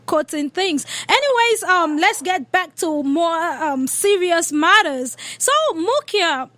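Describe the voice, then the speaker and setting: A woman with a distinctly high voice hosts a casual radio interview. She moves through her questions and asides in halting, hesitant phrases. The sound is close and dry, with no room echo. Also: fairly bright tone. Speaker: radio show host.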